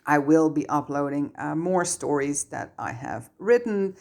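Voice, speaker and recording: A woman with a low-pitched voice reads fiction aloud. She delivers scripted narration as the author and storyteller. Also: expressive, measured pace, vocal fry, a little mellow. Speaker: narrator reading own fan fiction.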